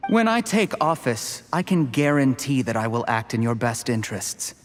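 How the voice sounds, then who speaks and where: A man's voice: medium pitch, big pitch swings, normal speed, close to an everyday voice. Strained young actor as a male schemer, echoing. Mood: pleading, defensive. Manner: earnest, strained, self-justifying. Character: ambitious, cornered young male schemer of lowly origins. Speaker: video game character. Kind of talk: scripted game voice acting. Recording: long echoing reverb.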